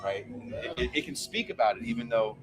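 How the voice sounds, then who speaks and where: A man with a booming voice giving opinions in a casual podcast discussion, making his points emphatically in repeated phrases. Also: very animated, fairly quick. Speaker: podcast host. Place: bar. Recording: loud music behind the voice. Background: loud music.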